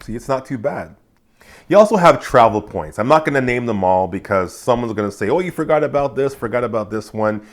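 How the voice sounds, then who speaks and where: A man's voice: mid-range voice, lively intonation, a little fast. A man hosting a personal finance channel, talking casually to camera, sounding nasal and congested from allergies, chatty and encouraging. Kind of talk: casual direct-to-camera talk.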